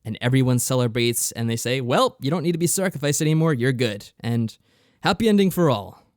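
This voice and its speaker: A man's voice: medium pitch, animated, brisk, dry recording, clean studio sound. A man co-hosting a podcast, casually discussing games in a mild, matter-of-fact way. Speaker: podcast co-host.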